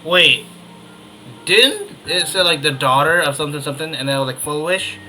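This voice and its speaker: A man's voice: a little high, animated, moderate pace, pushed and bright, a little hiss or hum, low-quality recording. A man giving offhand, casual reactions as he plays through a story game, often in short muttered asides. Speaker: gaming video host. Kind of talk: casual playthrough reaction commentary. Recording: game music behind the voice. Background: video game music.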